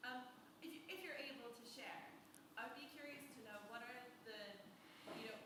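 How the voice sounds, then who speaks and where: A woman's voice: on the high side, moderate pace, noisy background. Woman asking from a distant echoey hall. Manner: self-assured, casual, chatty. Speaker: audience member asking a question. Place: conference hall audience.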